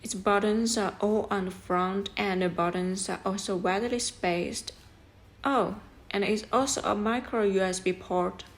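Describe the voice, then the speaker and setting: A woman's voice: medium pitch, steady tone, a little slow. A woman presenting a product review as scripted narration, explaining things step by step in an instructional way.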